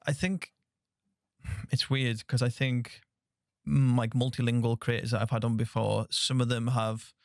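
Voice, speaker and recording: A man hosting a soft-spoken podcast interview, relaxed and chatty, laughing easily. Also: mid-range voice, vocal fry, no echo, studio quality. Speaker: podcast host.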